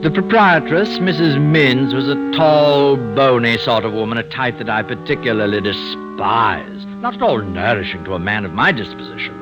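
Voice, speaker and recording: An actor playing a refined, coldly cynical doctor with a secret, fussy and sardonic, in an old-time radio drama. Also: male voice, mid-range voice, lively intonation, moderate pace, hardly any pauses, natural voice. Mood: smug, cold. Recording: muffled vintage radio recording with music.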